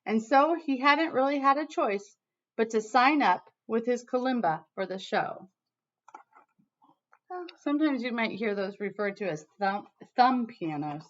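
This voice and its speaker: An American woman teacher reading a storybook expressively to students, pausing to explain words. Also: a little high, a bit bright. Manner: pauses to explain words to children. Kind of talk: expressive read-aloud with explanatory asides. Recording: dull, lo-fi sound.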